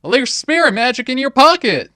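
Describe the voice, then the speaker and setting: A woman voicing an excitable, blunt young man who yelps and exclaims. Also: sits low, normal speed, no echo, clean studio sound, full character voice. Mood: agitated. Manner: yelping, blunt.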